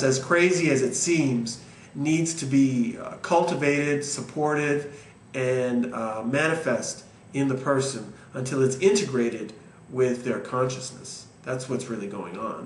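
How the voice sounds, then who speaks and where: Earnest reflective man in his forties. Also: fairly high pitch. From Canada. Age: 40s. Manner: earnest and reflective, with many ums and uhs. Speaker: vlogger telling his story. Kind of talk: personal talk to camera. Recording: echoey room with faint ambient noise.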